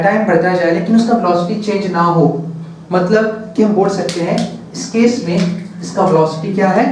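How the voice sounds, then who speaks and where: A male teacher lectures on physics at a board, his voice pitched high. He talks slowly and step by step, asks questions and answers them himself. He sounds distant from the mic, in a very echoey room with faint background noise. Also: darkish tone.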